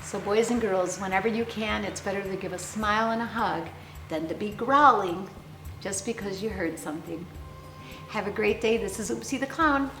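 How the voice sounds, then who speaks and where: A woman storyteller reading a picture book aloud to young children with theatrical, deliberate phrasing and dramatic emphasis. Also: medium pitch, fairly bright tone. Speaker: children's storyteller, clown character host. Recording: roomy echo, faint music behind.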